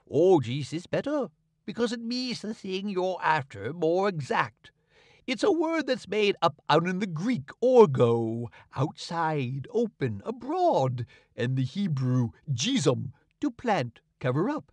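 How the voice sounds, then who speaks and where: A man's voice: high voice, very animated, takes it slowly, darkish tone, no room sound, clean studio sound, exaggerated voice. A man voicing a pompous, long-winded old male swindler who poses as an Englishman, drawling and self-satisfied. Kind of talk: audiobook character reading.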